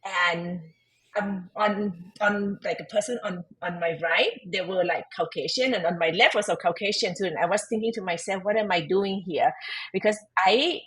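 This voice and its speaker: A woman with a Thai accent, Thai her first language, tells her life story as an interview guest. She speaks earnestly and sometimes pauses to find words in English. Her pitch sits in a middle range for a woman. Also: rough recording. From Thailand. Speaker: tax advisor and podcast guest.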